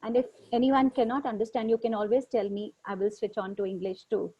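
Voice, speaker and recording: A woman with an Indian accent hosts an informal online discussion. Her voice sits a little high, and she speaks as a native Hindi speaker, posing questions and encouraging others to answer. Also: fairly even intonation, brisk, husky, muffled recording. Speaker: host of an online group discussion. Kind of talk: informal facilitated discussion, mixing English and Hindi. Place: online video call.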